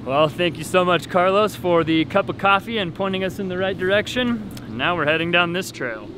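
A man's voice: high voice, a little fast, background noise. A young man chatting upbeat to a handheld camera on a jungle trip. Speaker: vlogger. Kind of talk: casual travel vlog chat. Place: outdoors in a rainy jungle and inside a tent. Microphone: handheld camera at arm's length.

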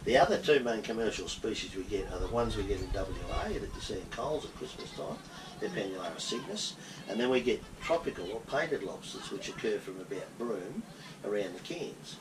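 A man's voice: fairly low pitch, animated, fairly quick, hardly any pauses. A man in his sixties with an Australian accent, explaining a harbour and its fishing in an easygoing way to passengers on a tour boat. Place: open boat in a harbour outdoors. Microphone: action camera from a distance. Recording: distant mic, loud engine and wind noise.

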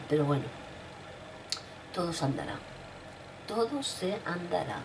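A middle-aged woman with a cold and a rough throat, chatting casually to her viewers from a room at home, repeating herself as she talks. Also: on the low side, very animated, takes it slowly, noisy background. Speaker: vlogger. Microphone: webcam at desk.

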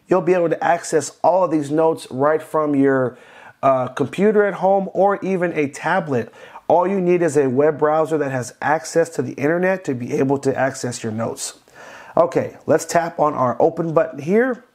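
A man's voice: a little high, darkish tone. A man hosting a step-by-step tech walkthrough for beginners, explaining simply and reassuringly. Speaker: tech tutorial host.

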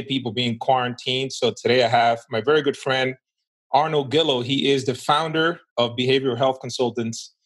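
Upbeat male podcast host. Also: mid-range voice. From Dominican Republic. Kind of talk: interview hosting.

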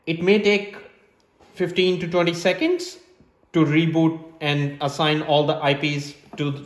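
A man in his thirties giving step-by-step instructional narration in a plain, methodical way, in short statements. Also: a little high. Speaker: presenter of a tech setup tutorial. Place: small hard-floored room indoors. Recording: roomy, echoing indoor sound.

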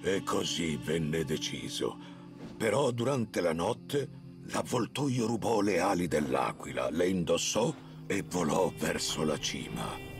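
A man playing a wise, kindly old bear who tells tales in a children's cartoon, with a gravelly, expressive voice. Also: low voice, slow pace, over music, full character voice. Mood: solemn storytelling. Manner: storytelling, expressive.

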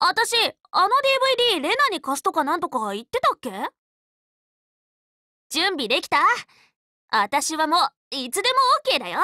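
Anime game role: teenage magical girl, loyal tomboy leader, bright forceful tone, very lively intonation, dry close studio sound, frank and casual. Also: extremely high pitch, dry recording, heavily acted. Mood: sleepy, puzzled, then confident. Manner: casual, boyish, spirited, frank speech. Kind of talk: anime game character voice lines.

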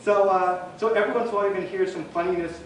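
Host guy joking thru an intro, high voice, super echoey, far from the mic. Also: rapid-fire, slight background noise. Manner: playful, joking, excitable. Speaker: event organizer and host. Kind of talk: introducing a speaker. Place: dim meeting room. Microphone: far camera. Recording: distant, very echoey room recording.

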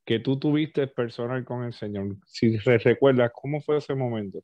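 A man in his thirties, a pastor hosting an interview over a headset, asking long, measured, churchly questions. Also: medium pitch, dry recording. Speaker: pastor and host. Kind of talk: interview over video call. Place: home office with bookshelves. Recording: dry, lo-fi video call audio.

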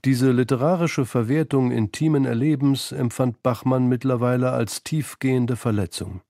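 A man reading scripted documentary narration for a radio feature in a measured, sober, literate manner. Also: mid-range voice, fairly even intonation, mellow, no room sound, clean studio sound.

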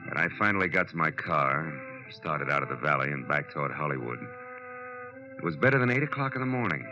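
An American actor playing a world-weary, tough private detective in a 1940s radio noir, clipped, colloquial and wry. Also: male voice, very deep voice, expressive, brisk. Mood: weary, reflective. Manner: hard-boiled, clipped, colloquial. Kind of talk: 1940s radio acting, first-person noir narration. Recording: dull old radio recording, music behind.

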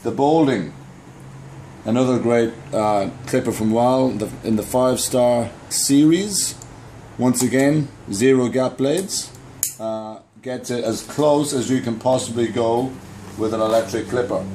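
A man's voice: medium pitch, unhurried, dark tone, a little hiss or hum. A man in his thirties with an Australian accent, a barber casually demoing a tool kit with enthusiastic, informal patter, recorded on a camera in a small barbershop. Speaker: barber reviewing products. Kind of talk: casual product demo. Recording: roomy shop with faint ambience.

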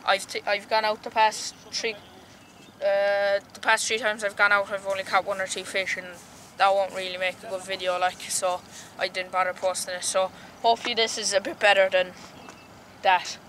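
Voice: medium pitch, level tone, moderate pace, fairly bright tone. Noisy seaside recording, young fishing vlogger, casual rambling chat, plain-spoken. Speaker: angling vlogger. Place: outdoors by the sea, fishing. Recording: noisy outdoor recording with water and ambience.

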